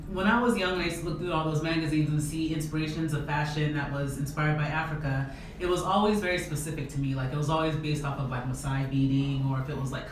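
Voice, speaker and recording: A woman fashion designer who grew up in the United States, answering interview questions in a relaxed, rambling way with lots of fillers. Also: sits low. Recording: echoey room, distant mic, background noise.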